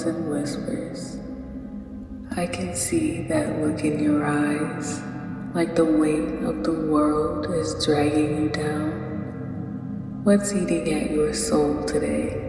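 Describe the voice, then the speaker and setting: A performer voicing a gentle, wise robot guide of dreams, speaking in a soothing, theatrically poetic way for a guided meditation. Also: mid-range voice, far from the mic. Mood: soothing, mysterious. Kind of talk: guided meditation role-play. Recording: echoey long reverb over music.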